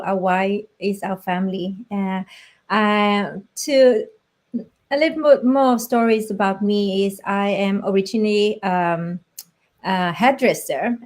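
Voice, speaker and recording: A woman's voice: mid-range voice, darkish tone. A middle-aged woman from Vietnam casually sharing her personal story as a guest on a live video call. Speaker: guest, business coach and part-time teacher.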